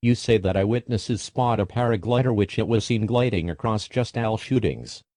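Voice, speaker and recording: A man playing a TV news anchor who puts formal, measured questions to a reporter in a scripted breaking-news scenario. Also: lowish voice, warm tone, a little hiss or hum, close to an everyday voice. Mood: serious.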